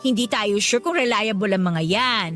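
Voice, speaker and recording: A woman playing an excitable young coworker hungry for gossip in a radio drama, eager and squealing, with music underneath. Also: medium pitch, moderate pace, close to an everyday voice. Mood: excited.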